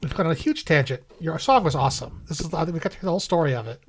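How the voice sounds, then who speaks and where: A man's voice: fairly high pitch, lively intonation, rapid-fire, slight background noise. A man hosting a casual podcast at his home studio, chatty and upbeat, laughing often. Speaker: podcast host. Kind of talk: casual chat. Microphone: studio microphone.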